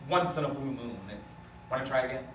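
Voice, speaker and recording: A man's voice: mid-range voice, fast talker, fairly bright tone, far from the mic, lightly acted. Man playing a blunt lawyer in an echoey, noisy room. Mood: bluntly mocking. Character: blunt, teasing, easygoing male lawyer. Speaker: actor at a script table read. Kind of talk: amateur comedic script table read.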